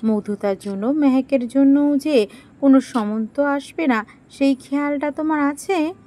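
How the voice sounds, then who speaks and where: A woman voicing a querulous, sharp-tongued youngest wife of a household in an audio story. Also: sits high, unhurried. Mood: bitter, accusing. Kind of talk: Bengali audio story acting.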